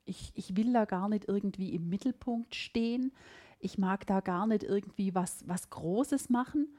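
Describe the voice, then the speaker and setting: A woman's voice: mid-range voice, moderate pace. A middle-aged woman with a Swabian accent, hosting a podcast in a casual, reflective conversation. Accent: Swabian German. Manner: lively, emphatic, reflective. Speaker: podcast host.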